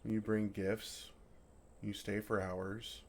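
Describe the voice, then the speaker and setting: A man playing a gentle, affectionate, innocently oblivious dragon in an intimate, earnest ASMR roleplay. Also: fairly low pitch, flat delivery, slow, creaky, full character voice. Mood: puzzled affection. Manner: earnest, softly teasing, innocently puzzled.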